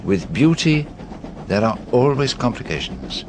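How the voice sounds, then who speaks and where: An actor playing a shrewd, courteous detective with a French-speaking European accent and formal, deliberate, probing phrasing in a radio drama. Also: male voice, on the low side, wildly swooping pitch, background noise. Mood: thoughtful. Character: shrewd, courteous foreign male private detective, probing and precise.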